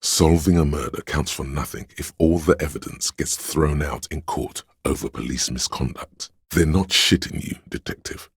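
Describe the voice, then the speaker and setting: A man playing a solemn, brotherly inner voice of police instinct in a video game, narrating in a measured, brooding, literary way with a gravelly, husky tone. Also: extremely low pitch, no echo, clean studio sound, heavily acted. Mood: stern, dry. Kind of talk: scripted second-person game narration.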